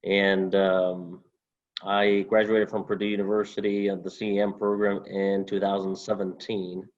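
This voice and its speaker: A young man from Honduras, a former student, answering interview questions over a video call in a halting way with many fillers. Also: low-pitched, completely flat delivery, lo-fi sound. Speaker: alumnus guest.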